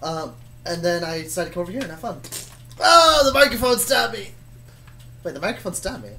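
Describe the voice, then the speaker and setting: A woman's voice: on the low side, very animated, brisk, bright, forceful tone, slight background noise. A woman co-hosting a story stream in casual, bantering chat, ready to argue over small things. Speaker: co-host of improv story stream.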